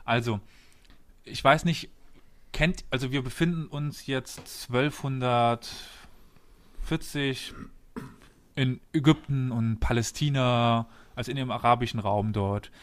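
A man's voice: mid-range voice, slow pace. Man casually explaining history. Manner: explains step by step and quizzes his listeners. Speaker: podcast host presenting history.

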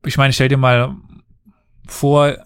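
A man's voice: medium pitch, level tone, slow, fairly bright tone, clear, resonant tone, no room sound. Man telling history, dry close sound. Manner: storytelling, explains at length. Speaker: podcast host telling history. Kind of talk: casual history podcast chat.

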